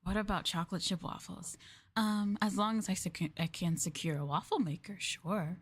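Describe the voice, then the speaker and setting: Girl doing a maid roleplay stream, kinda low voice, super polite and formal, giggles a lot. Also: moderate pace, breathy edge. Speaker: VTuber streamer. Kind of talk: maid roleplay livestream chatting with chat.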